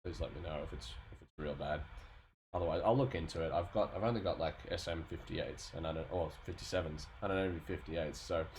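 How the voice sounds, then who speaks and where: A man's voice: low-pitched, fast talker, darkish tone. A man in his thirties chatting casually and thinking aloud as he streams his work as a sound designer. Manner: rambling, informal, thinking aloud. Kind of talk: casual livestream chat while working. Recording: noisy home stream mic, background noise.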